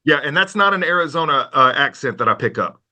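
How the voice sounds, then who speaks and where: A man hosting a conversational podcast interview in a folksy, polite, animated manner. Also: a little high, quick pace. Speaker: podcast host.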